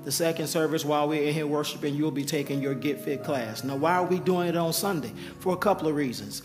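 A man who is a pastor giving an altar call and announcements to his congregation, with a hoarse voice he says he keeps losing. He talks in a coaxing, repetitive preaching manner. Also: on the high side, fast talker. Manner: urgent repeated coaxing, preacherly. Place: church sanctuary. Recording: live church sound with music and crowd. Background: music and congregation.

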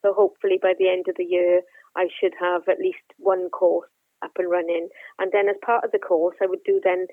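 A Welsh woman being interviewed as a guest author and researcher, speaking gently and earnestly. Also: on the low side, little pitch movement, brisk, dry recording. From Wales. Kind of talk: remote interview. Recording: tinny phone line.

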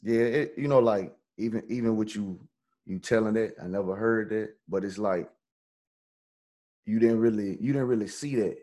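A man's voice: lowish voice, normal speed, dark tone. A man hosting a casual chat, laid-back and slangy. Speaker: host.

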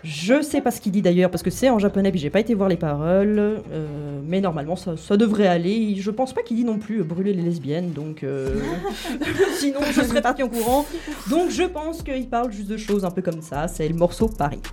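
A woman's voice: mid-range voice, sing-song, brisk, warm tone. A woman in her twenties, born in Italy and raised in Switzerland, chatting casually at a radio round table and filling her talk with hesitations. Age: 20s. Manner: chatty, self-deprecating, many fillers. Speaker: radio show columnist. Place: radio studio.

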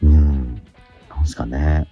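A middle-aged man chatting casually as a radio host, animated but sometimes halting and reflective. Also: a bass voice, muffled recording, soft background music. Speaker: radio host and theatre actor. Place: theatre auditorium during rehearsal.

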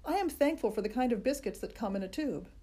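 A woman voicing an eager, boastful little schoolgirl in an audiobook, with an excited, emphatic delivery. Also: on the high side, fast. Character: spunky bossy little schoolgirl, loud and eager. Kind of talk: audiobook character voice.

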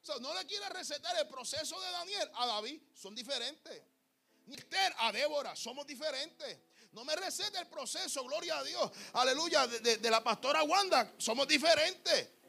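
A man's voice: very high-pitched, lively intonation, moderate pace, vocal fry, sharp tone. A young man preaching a fervent sermon, repeating words and calling the congregation beloved. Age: young adult. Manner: fervent, repetitive, calls listeners "amado". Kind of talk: Pentecostal sermon.

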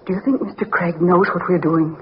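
A woman's voice: lowish voice, steady tone, fairly quick, natural voice. Woman playing a cold greedy nurse in an old radio play, dull noisy recording. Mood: cool, demanding. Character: cold, grasping female private nurse, a conspirator. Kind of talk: old-time radio drama acting.

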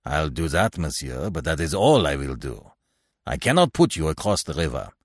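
A man voicing a sullen, resentful and fearful ferryman with a gruff, exclamatory manner in an audiobook story. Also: extremely low pitch, very animated, normal speed, no echo, studio quality, full character voice. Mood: sullen refusal. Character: sullen, frightened ferryman, angry and resentful, then pleading.

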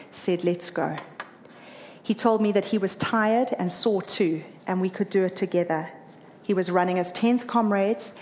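A woman's voice: medium pitch, a little hiss or hum. A woman from South Africa with a South African accent, earnestly telling a personal story about running a marathon. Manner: earnest, emotional, lively when quoting others.

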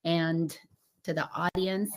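A woman coach whose voice is raspy after laryngitis, talking earnestly and expansively over a remote call. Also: fairly low pitch, little pitch movement, slow pace. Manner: earnest and expansive, building on images. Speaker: coach and guest. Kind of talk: casual spiritual podcast chat.

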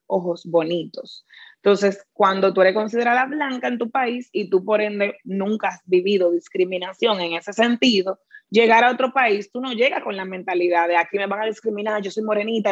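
A woman's voice: medium pitch, no room sound. Reflective woman host, dry close sound. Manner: reflective, quotes others, quick interjections. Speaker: podcast host. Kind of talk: conversational interview. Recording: slightly dull, dry sound.

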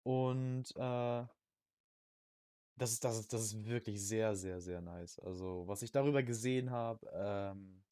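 A man's voice: lowish voice, a little slow, warm tone, smooth, dry recording, clean studio sound. A young man chatting casually as a podcast host about video games, peppering his talk with youth slang and English gaming words. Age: young adult.

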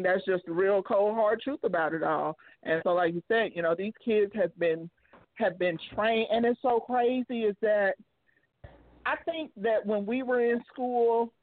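A woman's voice: mid-range voice, no echo. A woman in her thirties, a single mother, talking bluntly and laughing often in a casual call-in chat. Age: 30s. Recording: dry tinny phone line.

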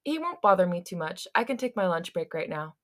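Figure: young woman office worker read by a woman. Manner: quick, pointed, flustered. Pitch: slightly low. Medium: read-aloud story. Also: big pitch swings.

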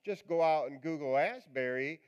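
A male pastor with a raspy voice, preaching a live church sermon in a chatty, joking, rambling way. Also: on the high side, normal speed, lo-fi sound. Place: church sanctuary.

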